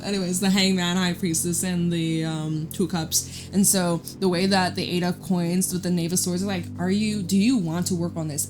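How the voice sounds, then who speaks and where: A woman giving a casual tarot reading in a loud, chatty voice, rambling off into side stories. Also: fairly low pitch. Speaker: tarot reader. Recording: guitar music playing behind. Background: guitar music.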